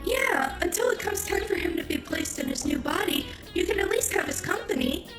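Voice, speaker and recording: A woman's voice: extremely high pitch, lively intonation, fairly quick. A woman voicing a sweet, motherly animatronic chicken with affectionate, bubbly delivery in a fan cartoon dub. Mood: reassuring. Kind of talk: fan comic dub acting. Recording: echoey, with music behind.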